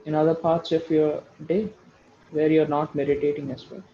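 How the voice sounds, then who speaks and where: A man leading a guided meditation, giving slow, soothing instructions and repeating short phrases for emphasis. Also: on the high side, level tone, dull audio, slight background noise. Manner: repeats phrases for emphasis, addresses listeners as friends.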